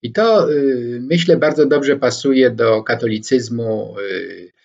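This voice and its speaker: A man in his sixties from Poland, giving a reflective lecture to camera from his home study, pausing often with hesitation sounds as he picks his words. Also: medium pitch, gravelly, darkish tone. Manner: slow and deliberate, frequent hesitation fillers, gestures with both hands. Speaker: scholar commentator. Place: home study with bookshelves, indoors. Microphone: webcam or laptop microphone, head-and-shoulders framing. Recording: dull lo-fi webcam sound.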